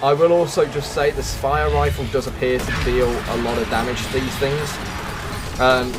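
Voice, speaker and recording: A man with an ordinary mid-pitched voice gives casual walkthrough commentary as a gaming host. He talks conversationally to viewers while he plays. Clear background noise from the game sits behind his voice.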